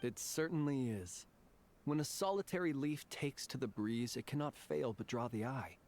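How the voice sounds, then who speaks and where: A man voicing a composed, poetic officer in a video game, formal and measured, over faint ambience. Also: mid-range voice, darkish tone, natural voice. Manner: measured, eloquent, flowery.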